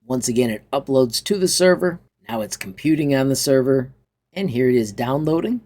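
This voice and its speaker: Male how-to presenter, medium pitch, informal and enthusiastic, talking viewers through software. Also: animated, normal speed. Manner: chatty, enthusiastic. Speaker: tutorial host. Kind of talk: informal software walkthrough with upbeat sign-off.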